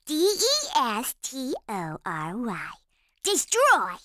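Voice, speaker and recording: A woman's voice: high voice, huge pitch swings, pushed and bright, no echo, clean studio sound, full character voice. A woman voicing an evil young girl in a video game, delivering cold, menacing threats in a chanting manner. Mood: cold menace. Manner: slow, chant-like spelled-out threats.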